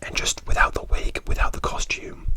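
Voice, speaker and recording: A man softly whispering a rambling ASMR story, with many fillers and restarts. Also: normal speed. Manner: soft, relaxed whisper throughout. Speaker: ASMR creator.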